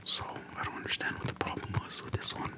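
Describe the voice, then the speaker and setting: Soft whispered ASMR, casual and slangy. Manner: soft whispering, unhurried with pauses; informal slangy speech. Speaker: ASMR video creator. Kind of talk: whispered ASMR, casual nostalgic game chat. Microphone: close microphone for ASMR. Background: soft tapping and clicking.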